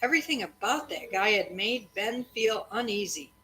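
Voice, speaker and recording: A woman reading a children's book aloud to kids in a storytelling style, pausing to chat and ask them questions. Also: mid-range voice, animated, pushed and bright. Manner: breaks off to address and question children.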